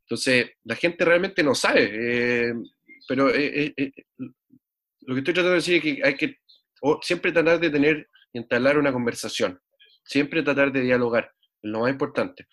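A man with a Chilean accent speaking as an interview guest, relaxed and talkative. He circles back, restarts his phrases and leans on local slang. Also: medium pitch, normal speed. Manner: rambling, repeats words, Chilean slang. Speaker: guest musician. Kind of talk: casual interview chat.

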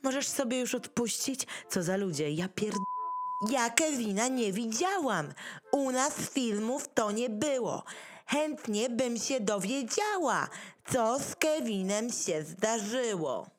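A woman's voice: fairly high pitch, animated, takes it slowly, faint music behind. A woman playing blunt, picky pet dogs in a rhymed radio play, with a sardonic manner. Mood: impatient.

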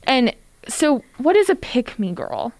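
A woman's voice: sits high, sing-song, slow, darkish tone, no echo, poor audio. A young woman co-hosting a podcast, chatting casually in a blunt, sarcastic way with lots of "like". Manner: blunt, sarcastic, heavy use of "like". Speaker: podcast co-host.

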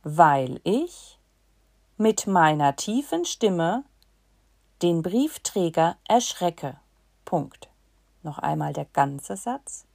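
Female teacher reading a dictation, chunked and deliberate, low pitch with a lively melody. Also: gravelly, no echo, clean studio sound. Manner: reads in short chunks and says punctuation aloud. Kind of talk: slow dictation for learners.

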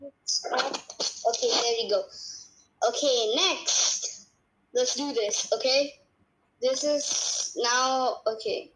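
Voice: high-pitched, drawn-out words, long pauses, pushed and bright, rough recording. A podcast co-host chatting casually in a guessing game, hesitant and repeating short phrases.

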